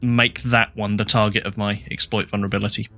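A man's voice: fairly low pitch, little pitch movement, brisk, gravelly, sharp tone. A man playing a tabletop game, talking casually through the rules. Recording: music playing under the voice.

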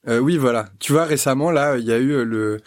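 A man talking things through in a loose, thoughtful ramble, restarting his sentences as ideas come. His voice sits at an ordinary middle pitch and is recorded close on a studio mic. There is no room sound at all. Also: a little fast, no echo. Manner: thoughtful, talks in long winding sentences with restarts. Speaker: guest musician. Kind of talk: casual podcast conversation.

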